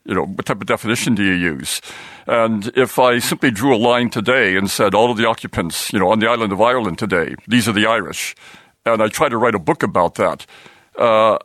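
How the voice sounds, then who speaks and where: A man's voice: on the low side, fairly quick, fairly bright tone, no room sound. An American man, a professor guesting on a radio interview, explaining his ideas and thinking aloud as he talks. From United States.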